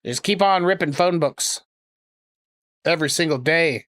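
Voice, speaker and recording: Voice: mid-range voice, unhurried, fairly bright tone. A prank caller and streamer chatting casually, with a sarcastic, crude, rambling way of talking. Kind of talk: casual livestream chat and prank calling.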